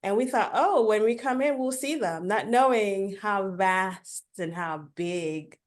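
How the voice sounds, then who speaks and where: Animated woman guest telling her story. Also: mid-range voice, a little slow. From Africa. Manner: animated, laughs between points. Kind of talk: casual interview storytelling.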